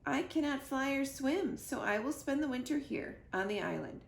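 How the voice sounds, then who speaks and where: Robot mother, read by a woman, calm, caring, formal, measured, creaky, echoey room, storybook. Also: high-pitched, pitched above the performer's own voice. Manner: measured, formal, without contractions.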